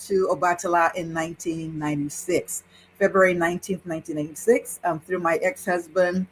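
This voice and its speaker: Woman, sixties, low-pitched, interview guest, animated storytelling, laughs often, faint background noise. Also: poor audio. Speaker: guest, Yoruba priestess. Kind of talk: personal storytelling in conversation.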